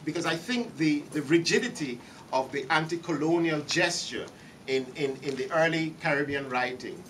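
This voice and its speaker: A man's voice: a little high, normal speed, a bit bright, a little hiss or hum. Middle-aged man lecturing at a podium. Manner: gestures broadly, repeats words while reaching for phrasing. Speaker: keynote speaker. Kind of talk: academic lecture, partly read. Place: lecture hall, behind a podium. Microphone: podium microphone. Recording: slightly distant, faint room ambience.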